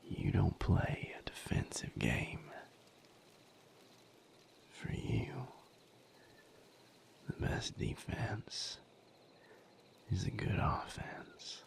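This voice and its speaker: A man playing a protective, tender boyfriend in an intimate audio roleplay, speaking gently and earnestly in a breathy, creaky near-whisper. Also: fairly low pitch, wildly swooping pitch, exaggerated voice. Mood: firm concern. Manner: slow, gentle, earnest, firm. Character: protective, tender male boyfriend tending his hurt partner.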